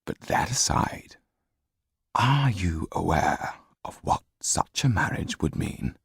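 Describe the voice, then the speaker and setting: Man as a dry, low-voiced, measured lawyer. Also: expressive, gravelly, husky, no echo, heavily acted. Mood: dry irony. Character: measured, dryly ironic male French lawyer, firm and disapproving.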